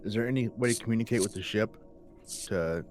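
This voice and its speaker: A man's voice: lowish voice, brisk, a little mellow. A man playing a tabletop roleplaying game, casually describing what his character does and says. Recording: faint music behind the voice.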